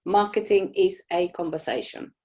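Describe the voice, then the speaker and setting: Chatty woman from Argentina, lowish voice. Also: fairly even intonation, normal speed. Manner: warm, chatty, many "you know" fillers. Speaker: host of a live Q&A for business owners. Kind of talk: casual live Q&A session.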